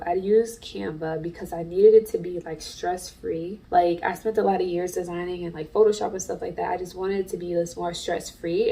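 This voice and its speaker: A woman's voice: medium pitch, vocal fry, dark tone, recorded from a distance, rough recording. A congested woman, an artist and small business owner, talking in a chatty, earnest way to camera in a casual vlog. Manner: chatty and earnest, many fillers. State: congested from allergies.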